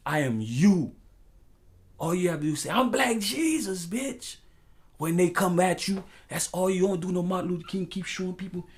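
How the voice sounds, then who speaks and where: Impassioned man preaching in street slang. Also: fairly high pitch. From United States. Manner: preaching, profane, repetitive, emphatic. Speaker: talk show host. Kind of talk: impassioned freestyle monologue with street slang and rhyming phrases.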